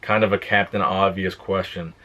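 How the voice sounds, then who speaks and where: Laid-back young man, slightly low voice. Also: normal speed. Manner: relaxed, plain-spoken, reads questions aloud then answers. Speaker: fitness YouTuber. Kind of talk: casual Q and A vlog.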